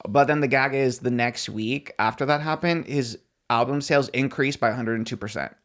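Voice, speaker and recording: A man's voice: medium pitch, brisk, fairly bright tone. Man, podcast co-host, mid-range voice, casual news recap, gossipy, slangy, conversational.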